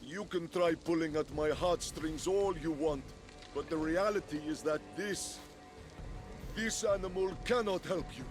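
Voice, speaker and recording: An actor voicing a sulky, self-pitying war god trapped in a chicken's body, melodramatic and bitterly sarcastic, in a video game cutscene. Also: male voice, a little high, measured pace, soft background music, lightly acted. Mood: bitter, miserable.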